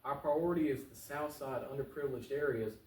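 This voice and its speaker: An American man speaks very quickly in an earnest, informal interview style, often repeating phrases to drive points home. His voice is mid-pitched and comes with a roomy echo, as if some way from the microphone. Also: a little mellow. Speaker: political candidate, talk show guest. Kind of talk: conversational interview answers. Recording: roomy echo, distant mic, faint noise.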